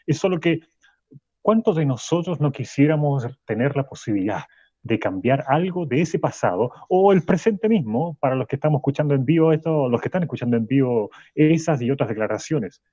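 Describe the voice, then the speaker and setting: An actor playing a thoughtful professor who speaks in a measured, reflective way on a radio program. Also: male voice, mid-range voice, animated, warm tone, dry recording, close to an everyday voice. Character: thoughtful man, professor looking back on the past. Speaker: social sciences professor on radio. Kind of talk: radio drama acting. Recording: dull, lo-fi dry recording.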